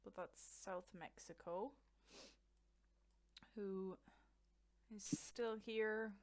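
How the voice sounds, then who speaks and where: Irish woman, young adult, casual game caster, chatty, quick to laugh. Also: medium pitch, fairly even intonation. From Ireland. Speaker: event commentator.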